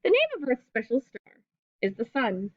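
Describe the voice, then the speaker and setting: Animated woman reading aloud to children. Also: on the high side, normal speed, no echo, muffled recording. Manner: expressive, simple phrasing aimed at young children. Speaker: teacher reading a picture book aloud to children. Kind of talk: children's read-aloud with explanatory asides.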